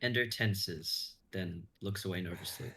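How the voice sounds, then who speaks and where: Young male narrator for script readings, fairly low voice, reads scene descriptions and stage directions. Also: unhurried, gravelly, sharp tone. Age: young adult.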